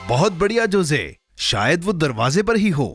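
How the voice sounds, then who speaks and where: An actor voicing a patient, friendly companion in a children's cartoon dub, encouraging and gently advising. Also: mid-range voice, big pitch swings, normal speed, over music, lightly acted.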